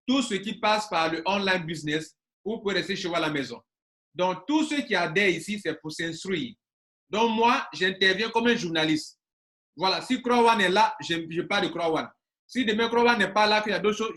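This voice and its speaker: A man's voice: sits high, moderate pace, a bit bright. A man in his forties, an online business coach talking casually to his viewers and stressing points by repeating phrases. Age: 40s.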